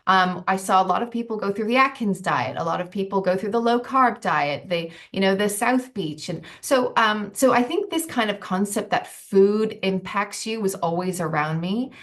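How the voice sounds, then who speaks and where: A woman's voice: mid-range voice, moderate pace, hardly any pauses. Thoughtful woman guest, hesitant, many ums. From United States. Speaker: guest, nutritionist. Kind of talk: casual interview talk.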